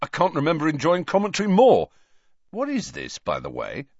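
Man playing an animated, earnest teenage schoolboy. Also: fairly high pitch, fairly quick, no room sound, muffled recording, pitched above the performer's own voice, heavily acted. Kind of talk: audiobook character voice.

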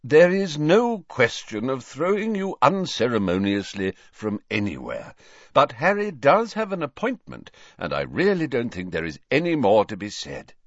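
A courteous old headmaster as a male reader plays him speaks formally with an expressive, varied pitch. He is patient yet firm, a storybook figure on a slightly dull recording. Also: no room sound. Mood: politely exasperated. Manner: measured, formal, politely firm. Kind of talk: audiobook character voice.

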